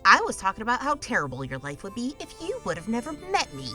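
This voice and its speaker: A woman's voice: mid-range voice, wildly swooping pitch, quick pace, pushed and bright, faint music behind, exaggerated voice. A woman voicing a bossy, foul-mouthed travel companion in a comic dub, nagging with exasperation. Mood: exasperated.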